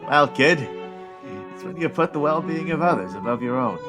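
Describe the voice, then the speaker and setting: A man's voice: a little high, expressive, moderate pace, music in the background, natural voice. A man playing an earnest, protective inventor father in an audio drama, speaking in a hesitant, fatherly way. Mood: tender, earnest.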